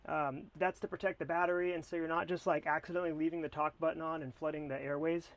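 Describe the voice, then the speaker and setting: A man's voice: fairly high pitch, level tone, quick pace, low-quality recording. An American man talking casually to camera as he reviews a product.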